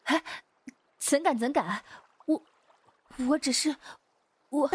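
A woman's voice: sits high, expressive, takes it slowly. A woman playing an easygoing, bashful young Taoist swordswoman in a Chinese wuxia audio drama. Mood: flustered, bashful.

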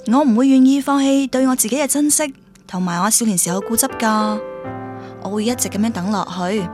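A woman's voice: a little high, a little fast, lightly acted. Actress as a girlish young friend over music. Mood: earnest, determined. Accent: Cantonese from Guangdong. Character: chatty, girlish young female friend, earnest and stubborn. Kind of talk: scripted radio drama acting.

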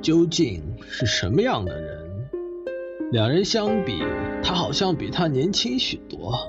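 A man's voice: medium pitch, big pitch swings. An actor playing a skeptical middle-aged father who objects conversationally in a Chinese audio detective drama. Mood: puzzled. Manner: doubting, conversational, quick to object. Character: skeptical middle-aged father, matter-of-fact relative in family debate. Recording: slightly muffled, music underneath.

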